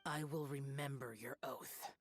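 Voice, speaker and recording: An actor playing a stern, solemn young immortal demon slayer in a video game, grave and formal. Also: male voice, medium pitch, fairly even intonation, a little slow, soft background music, close to an everyday voice. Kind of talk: scripted game dialogue.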